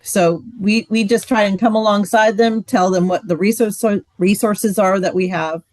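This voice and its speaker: A woman's voice: medium pitch, steady tone, normal speed. A woman co-presents in an informal, conversational style. The sound is dry and close to echo-free, and she tends to pose a question and answer it herself. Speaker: co-presenter in marriage ministry.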